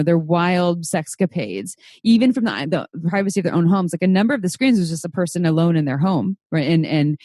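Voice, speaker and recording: Woman, podcast host, slightly low voice, casual, gushing, enthusiastic. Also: mellow, dry recording, studio quality.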